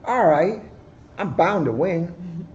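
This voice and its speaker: A man's voice: high-pitched, lively intonation, takes it slowly, darkish tone, dull audio, pitched above the performer's own voice. A man voicing a greedy, cocky hare from a children's storybook. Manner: cocky, overconfident.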